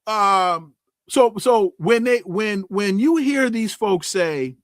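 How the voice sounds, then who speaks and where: Political scientist guest, male, animated, dry close sound, unhurried. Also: high-pitched, no room sound. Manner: impassioned, emphatic, frequent restarts. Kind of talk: political commentary on talk radio.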